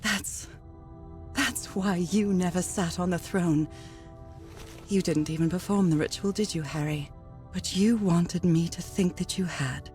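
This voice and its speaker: A woman's voice: fairly low pitch, unhurried, lightly acted. A woman playing a determined, emotional 1930s explorer in an adventure game, musing aloud expressively and questioning herself. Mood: grave deduction. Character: determined, distraught 1930s woman explorer searching for missing husband. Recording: loud music over the voice. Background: dramatic game music.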